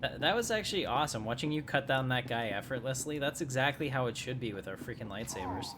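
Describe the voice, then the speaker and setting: A man's voice: medium pitch, expressive, quick pace, background noise. A man giving casual live commentary as he streams a video game. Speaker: gaming streamer. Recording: loud game music behind. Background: game music and sound effects.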